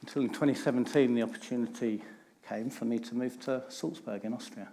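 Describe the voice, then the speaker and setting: A man's voice: mid-range voice, fairly even intonation, fairly quick, creaky, warm tone, a little hiss or hum. A middle-aged man, giving a candid personal talk on stage and stressing points through repetition. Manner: candid, emphatic storytelling with repeated phrases. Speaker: conference speaker.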